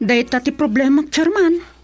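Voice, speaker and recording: A woman's voice: sits high, normal speed, loud music behind the voice, natural voice. A woman playing a stubborn, offended villager who gives huffy, defiant retorts in a comedic radio drama. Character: stubborn offended woman refusing to make peace.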